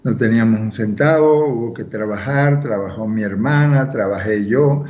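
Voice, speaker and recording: A man's voice: on the low side, normal speed, a little mellow. An older man from Cuba, telling his life story as an interview guest in a reflective, wry way. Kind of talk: autobiographical storytelling in conversation. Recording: roomy echo, slightly dull sound.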